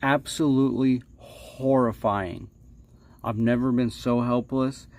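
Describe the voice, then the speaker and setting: A man hosts a livestream in a casual storytelling style, with a middling pitch. He rambles along haltingly, doubling back on his words. His delivery is playfully dramatic, with drawn-out phrases and hushed asides. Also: steady tone. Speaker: livestream host.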